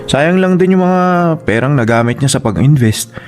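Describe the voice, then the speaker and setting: A man's voice: mid-range voice, lively intonation, normal speed, darkish tone, faint music behind, natural voice. A man playing a skeptical, condescending husband in a Filipino radio drama, talking in an offhand, doubting way. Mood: skeptical, dismissive.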